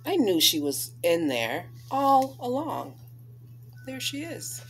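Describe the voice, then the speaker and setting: A woman in her thirties reading aloud to children as a storyteller, with deliberate, dramatic emphasis on key words. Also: fairly high pitch, big pitch swings, music in the background. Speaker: storyteller reading a picture book. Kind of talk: expressive read-aloud for children. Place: small living room, indoors.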